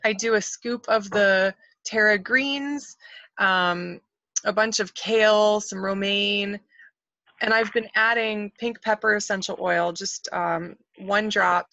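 A woman's voice: mid-range voice, pushed and bright, dry recording. A woman in her forties chatting with enthusiasm on a video call from her kitchen, with plenty of ums. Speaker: host. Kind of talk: casual chat. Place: home kitchen at night. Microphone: webcam on a video call. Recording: muffled webcam audio.

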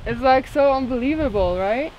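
A woman's voice: high voice, lively intonation, moderate pace, dull audio, noisy background. A woman in her twenties from Poland, a native Polish speaker, chatting casually as a vlogger outdoors on a handheld camera. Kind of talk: casual chat while hiking. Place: outdoors on a rocky mountain trail.

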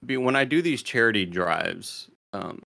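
A man co-hosting a casual podcast from home, talking in a halting, deadpan way with many pauses. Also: medium pitch, creaky. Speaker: podcast co-host.

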